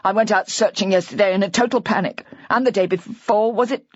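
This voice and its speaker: A woman's voice: medium pitch, brisk, no echo, dull audio, lightly acted. A woman voicing a distraught older husband whose words tumble out in a rambling, hysterical rush.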